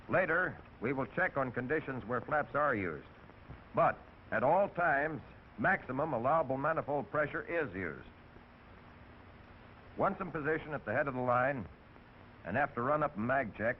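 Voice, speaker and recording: A man narrating technical procedures step by step in a scripted 1940s training film. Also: mid-range voice. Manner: methodical, step-by-step. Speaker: instructional narrator. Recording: tinny, hissy 1940s film soundtrack.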